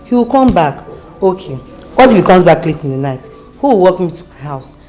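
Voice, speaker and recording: Actress as a calm Nigerian friend, low lively voice, roomy echo. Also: female voice, moderate pace, soft background music. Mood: soothing. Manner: soothing, reassuring. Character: calm young woman reassuring her friend.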